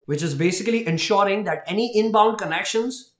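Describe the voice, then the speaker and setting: A man's voice: high-pitched, a little fast. A man in his thirties giving an explanatory tech announcement presentation, explaining step by step with emphasis. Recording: roomy indoor echo.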